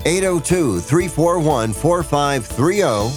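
Male ad voice, slow number read, faint music bed. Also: a little high, animated, a little mellow. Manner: slow repeated number reading. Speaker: ad announcer. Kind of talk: radio commercial.

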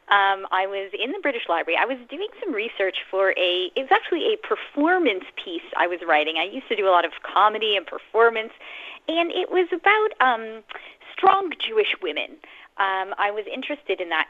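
A woman from Canada, an author, speaking as an interview guest, eager and a little halting. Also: mid-range voice, no echo. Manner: eager, with small restarts. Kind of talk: phone interview. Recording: dry tinny phone line.

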